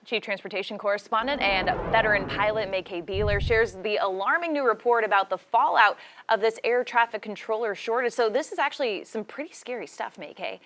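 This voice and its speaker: A woman's voice: mid-range voice, brisk, few pauses, fairly bright tone, slight background noise. A woman co-anchoring a TV newscast, switching between scripted introductions and casual questions. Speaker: news anchor. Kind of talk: broadcast news anchoring with casual co-host talk.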